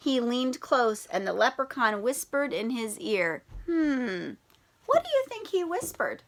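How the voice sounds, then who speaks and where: A woman's voice: a little high, big pitch swings, measured pace, slight background noise. A woman who teaches primary school, reading aloud and talking directly to children with prompting questions.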